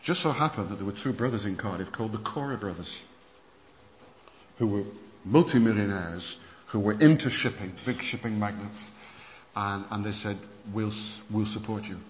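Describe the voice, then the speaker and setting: Male preacher, lively storyteller, low voice, dull hall recording with faint background noise. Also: moderate pace. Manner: animated storytelling with many restarts. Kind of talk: sermon lecture on church history. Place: conference hall. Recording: muffled hall recording with long reverb.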